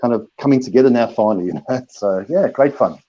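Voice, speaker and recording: Rambling middle-aged man with a mellow voice. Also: mid-range voice, fast, lo-fi sound. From South Africa. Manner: rambling, repeats words, laughs often. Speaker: DJ and producer. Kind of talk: casual interview answers.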